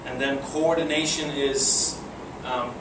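A man's voice: mid-range voice, fairly even intonation, slow pace. A young man with a Polish accent giving a casual presentation at a breakfast meeting, hesitant, with many fillers and restarts. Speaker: guest speaker. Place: conference room, speaking to a seated audience. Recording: echoey room, far from the mic, air conditioning hum.

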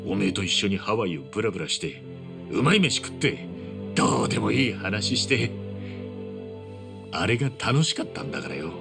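Gravelly, loyal street-tough man. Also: fairly low pitch, very animated, normal speed, pauses often, music in the background. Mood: heartfelt, urging. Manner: rough colloquial speech, earnest and heartfelt. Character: loyal, earnest street-tough man urging his friend to atone. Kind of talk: dramatic game cutscene acting.

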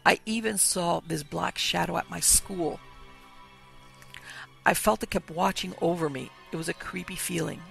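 A man narrates ghost stories at a measured pace, his voice thick with gravelly fry and sitting slightly above a typical male pitch. Quiet music plays softly underneath. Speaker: podcast host reading listener stories.

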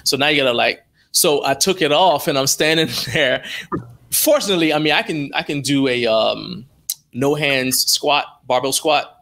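A middle-aged American man with a bright, forceful voice and a medium pitch. He talks casually as an interview guest, telling stories and slipping into quoted dialogue. He is speaking indoors over a video call. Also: moderate pace, gravelly. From born in Liberia, moved to the United States as a child. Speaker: interview guest, strength athlete and live streamer. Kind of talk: casual anecdotal interview talk.

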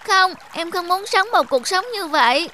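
A woman's voice: very high-pitched, expressive, moderate pace, bright, forceful tone, slight background noise, exaggerated voice. A woman voicing an earnest, emotional young stream spirit who yearns and pleads, in a children's cartoon fable. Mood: determined.

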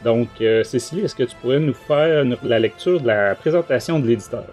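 A man's voice: mid-range voice, fairly quick, darkish tone, faint music behind. A man with a Quebec French accent hosting a casual book talk podcast, speaking in hesitant, broken-up phrases. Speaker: podcast host.

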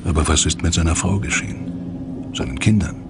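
A man's voice: deep voice, fairly quick, creaky, background noise. A man reading dramatic scripted narration as the narrator of a documentary.

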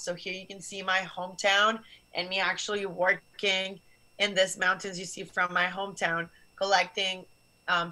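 A woman's voice: mid-range voice, bright, forceful tone, poor audio. A young woman, originally from Barcelona, explaining science to students earnestly over a video call from a small office. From Barcelona, Spain. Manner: earnest, explanatory, gestures while talking. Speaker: guest scientist. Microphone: video call webcam.

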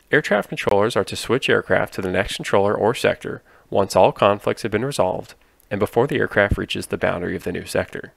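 A man giving scripted explainer narration in a clear, instructive manner. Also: on the low side, no echo. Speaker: narrator explaining air traffic control.

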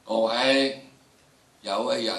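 A man's voice: mid-range voice, steady tone, takes it slowly, gravelly, fairly bright tone. Echoey shrewd old-world emperor, acted by a man. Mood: veiled threat. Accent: Hong Kong Cantonese. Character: shrewd Song emperor, weary yet veiledly threatening. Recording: echoey lecture hall, distant microphone.

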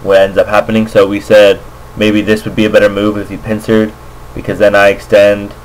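Low-voiced man, halting casual game commentary. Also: completely flat delivery, a little hiss or hum, rough recording. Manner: hesitant, halting, thinking aloud. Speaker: amateur Go player, commentator. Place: indoors at a computer, screen recording voice-over. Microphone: makeshift computer microphone.

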